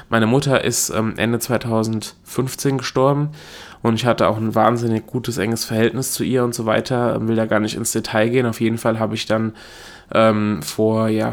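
A young adult man from Germany hosting his own solo podcast, talking in a chatty, self-correcting way and laughing at himself. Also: medium pitch. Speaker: podcast host. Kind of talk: casual solo podcast monologue.

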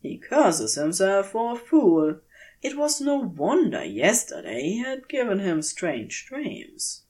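Woman, story narration, unhurried pace, lively melodic intonation, dramatic pauses. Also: medium pitch. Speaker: audiobook reader of fan fiction.

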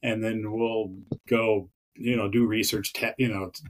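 A man's voice: fairly low pitch, level tone, unhurried, slight background noise. A man chatting as a podcast guest, rambling with many fillers and restarts. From Pacific Northwest of the US. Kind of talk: casual interview chat.

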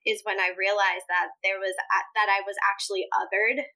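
Woman from Puerto Rico, Spanish native speaker, yoga teacher, earnest, crisp bright voice. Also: medium pitch, little pitch movement, moderate pace. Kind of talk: interview answers.

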